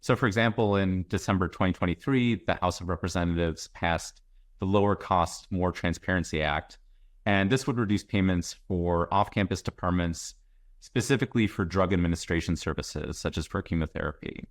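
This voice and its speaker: A deep-voiced man lays out health policy in a measured, methodical way. He is heard over a video call with a dry, close sound. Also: dry recording. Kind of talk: policy webinar explanation.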